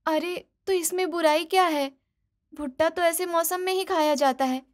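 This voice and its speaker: A woman acts out a young bride in a cartoon family story. Her voice is high, eager and expressive, made for coaxing her in-laws and sulking a little when hurt. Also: moderate pace. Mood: pleading, hurt. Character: eager young daughter-in-law, cheerful, pleading, easily hurt. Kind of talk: animated moral story dialogue.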